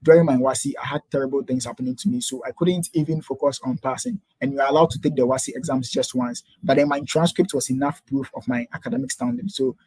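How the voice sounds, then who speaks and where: A man's voice: mid-range voice, expressive, darkish tone, husky, no room sound, poor audio. A man in his twenties from Ghana with a Ghanaian accent, eagerly explaining his background as an interview guest. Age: 20s. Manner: quick, eager, explaining step by step. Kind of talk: interview answers.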